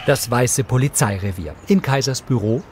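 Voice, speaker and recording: A man reading scripted audio description as a narrator in a neutral, measured manner. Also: mid-range voice, background noise.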